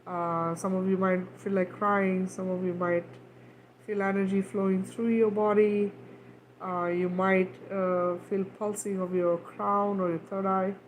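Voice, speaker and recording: A woman's voice: medium pitch, flat delivery, a little slow, slight background noise, rough recording. A woman who grew up in Kenya, leading a livestream talk and guided healing in a loose, repetitive way, often checking in with "right?". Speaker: spiritual healer on a livestream.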